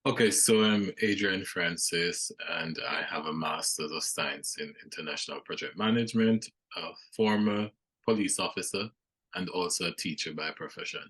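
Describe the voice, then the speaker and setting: Low, husky-voiced man guest. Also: normal speed, fairly bright tone. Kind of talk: brief self-introduction.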